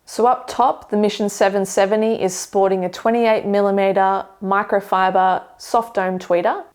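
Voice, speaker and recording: A young woman seated in a living room, giving a measured, detailed review of speakers to camera. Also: mid-range voice, steady tone, a little mellow. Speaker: audio equipment reviewer. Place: living room with hi-fi shelves, indoors.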